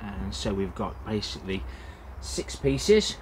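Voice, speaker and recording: A man's voice: on the low side, sing-song, takes it slowly, a little mellow, slight background noise. A man talking casually through an allotment vlog outdoors, with plenty of "uh" fillers. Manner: plain-spoken, many "uh" fillers. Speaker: allotment gardening vlogger. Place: outdoor allotment, in and around a greenhouse.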